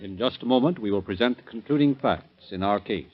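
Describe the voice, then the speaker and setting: An American man narrating formally in 1930s radio style, measured and declamatory. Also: fairly low pitch, animated. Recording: dull vintage radio recording with music.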